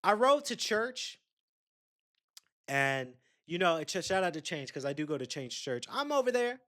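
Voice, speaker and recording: Young man, podcast host, rambling chatty storytelling, talks to listeners, higher-pitched voice. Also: big pitch swings, a little slow, fairly bright tone. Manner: rambling, chatty, addresses listeners directly with asides. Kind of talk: casual solo storytelling.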